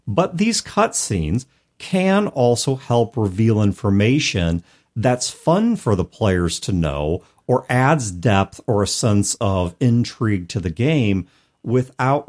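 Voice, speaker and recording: Male podcast co-host and game master, slightly deep voice, conversational and explanatory. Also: a little mellow. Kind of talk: casual discussion of tabletop game storytelling.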